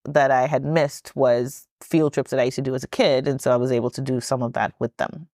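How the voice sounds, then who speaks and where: A woman in her thirties from Trinidad talks as a podcast guest with a low, grounded voice. She tells her stories in a chatty, easygoing way, often breaking into laughter. The sound is dry, with no room around it. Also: steady tone, a little fast, a little mellow, no echo. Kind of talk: podcast interview storytelling.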